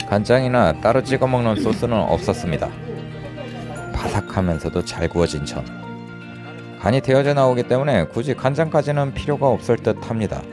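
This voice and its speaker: A man hosting a food review vlog with scripted voice-over narration. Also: mid-range voice. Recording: loud music behind the voice. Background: music.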